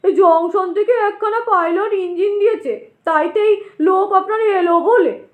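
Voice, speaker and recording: Woman playing a jovial, high-pitched railway station master. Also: measured pace, reverberant. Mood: jovial, reassuring.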